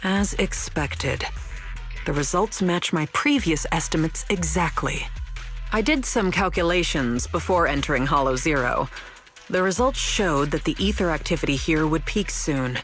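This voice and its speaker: A woman voicing a composed, analytical strategist in game story dialogue, explaining things in a measured, scholarly way. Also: low voice, expressive, fairly bright tone, faint music behind. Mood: calm, confident.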